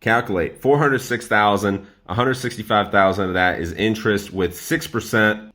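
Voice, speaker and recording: Upbeat young man hyping investing. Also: fairly low pitch. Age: 20s. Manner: energetic, animated, talks straight to the viewer. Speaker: personal finance YouTuber. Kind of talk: casual to-camera explainer.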